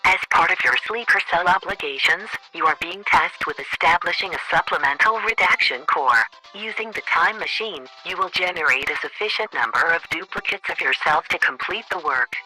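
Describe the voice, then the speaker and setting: A very bright, sharp-edged, fairly high voice from a male actor plays an officious government chief of staff. The delivery is formal and deadpan, like bureaucratic orders left on voicemail in a radio satire, with music underneath. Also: normal speed, hardly any pauses, rough recording.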